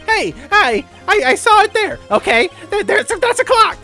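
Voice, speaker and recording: A man voicing a nervous, easily indignant murder witness in a video game, stammering and spluttering excitably. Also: extremely high pitch, moderate pace, soft background music, voice pushed up, full character voice. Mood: indignant, panicked. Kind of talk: hammy video game character voice.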